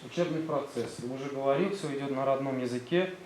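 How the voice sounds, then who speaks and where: A man's voice: mid-range voice, fast, over loud music. A man with a Tatar accent, a school director presenting his gymnasium to visiting officials, hesitant and full of fillers. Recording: roomy sound, faint background noise.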